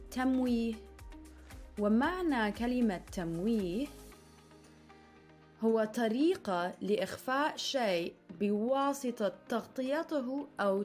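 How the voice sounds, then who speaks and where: Young woman presenter, high and quick, explanatory video narration. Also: a little mellow.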